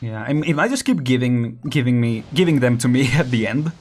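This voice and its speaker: A man's voice: mid-range voice, fairly quick. Chatty laughing young man streaming a game. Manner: chatty, laughs often, counts down excitedly. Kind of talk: casual live gameplay commentary.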